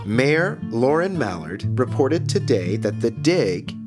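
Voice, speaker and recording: An actor playing a relentlessly cheerful, eerie small-town radio host in a scripted monologue, theatrical and drawn-out, with a smile in his delivery. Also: mid-range voice, big pitch swings. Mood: announcing. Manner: theatrical, smiling, deliberately drawn-out. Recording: music behind the voice.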